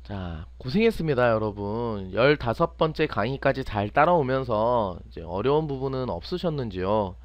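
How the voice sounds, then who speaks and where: A man's voice: mid-range voice, lively intonation, normal speed, few pauses, no echo, dull audio. A man teaching an unscripted step-by-step screen tutorial, often asking questions and answering them himself. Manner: asks and answers own questions. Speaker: teacher, online programming instructor.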